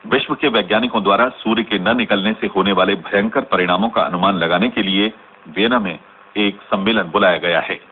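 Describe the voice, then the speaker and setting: An actor playing a grave male news reader, delivering a formal, measured scripted bulletin in a radio drama. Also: lowish voice, close to an everyday voice. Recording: tinny, band-limited, noisy radio recording.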